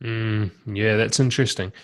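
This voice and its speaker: A man's voice: fairly low pitch, steady tone, normal speed. A man asking questions as an interviewer in a casual, conversational style. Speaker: interviewer, podcast host.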